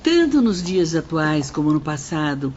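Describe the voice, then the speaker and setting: Actress as earnest Brazilian sister, muffled recording. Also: female voice, sits low, very animated, unhurried, a little mellow. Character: comforting, earnest female spirit sister who lectures morally. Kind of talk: audio drama acting. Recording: muffled, clearly noisy audio.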